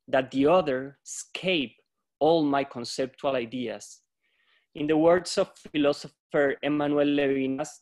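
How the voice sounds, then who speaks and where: A man from Colombia, a yoga teacher, giving a reflective, measured talk on a video-call panel. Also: a little high. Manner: reflective, measured, quotes philosophers.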